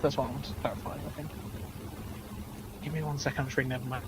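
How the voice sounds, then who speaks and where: A man's voice: medium pitch, level tone, fast, background noise. A man playing a lawyer in a roleplay courtroom, hesitant and checking his notes as he questions a witness. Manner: hesitant, clarifying, reading from notes.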